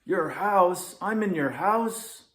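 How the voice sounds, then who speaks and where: A man's voice: high-pitched, animated, slow pace, fairly bright tone, pitched above the performer's own voice, exaggerated voice. A man plays a grumpy, hyper-vigilant lynx from a children's storybook. He scolds impatiently and emphatically, in a raised voice that swoops about. The room adds a noticeable echo. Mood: flustered. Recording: roomy echo.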